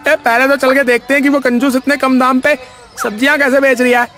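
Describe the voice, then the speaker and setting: A man's voice: extremely high pitch, level tone, a little fast, a bit bright, soft background music. A man voicing a jealous, scheming village vegetable seller in a comic cartoon, with a blustery, colloquial way of talking. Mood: grumbling, suspicious.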